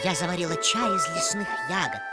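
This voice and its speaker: A woman's voice: on the low side, animated, brisk, lightly acted. A woman voicing a caring, fussy older female owl in a cartoon. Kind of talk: animated cartoon acting. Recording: loud music behind the voice.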